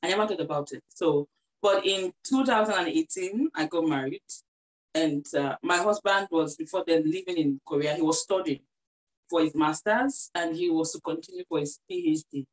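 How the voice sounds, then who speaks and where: A young Nigerian woman guest in a casual interview, cheerful and quick to laugh. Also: low-pitched, rough recording.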